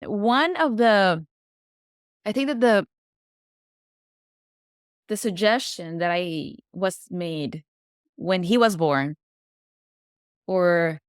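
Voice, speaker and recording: A woman's voice: on the low side, extremely slow, pauses often, clean tone, dry recording, clean studio sound. A woman whose first language is Spanish, a podcast guest chatting casually and sometimes searching for words. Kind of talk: casual interview chat.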